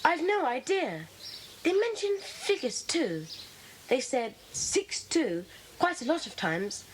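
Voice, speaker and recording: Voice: high-pitched, heavily acted. An actor playing an eager, puzzled young schoolboy in a children's audio drama, telling things earnestly. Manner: earnest, animated. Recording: faintly noisy 1980s cassette.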